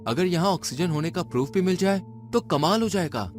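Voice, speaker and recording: A man's voice: high-pitched, lively intonation, brisk, faint music behind. A man playing an eager, anxious male space scientist in a dramatized adventure story. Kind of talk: dramatized Hindi audio story dialogue.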